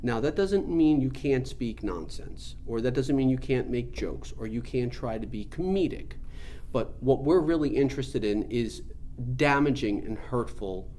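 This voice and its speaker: Earnest man teaching over clear background noise. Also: mid-range voice, lively intonation, measured pace, mellow. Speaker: spiritual teacher. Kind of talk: informal spiritual teaching talk.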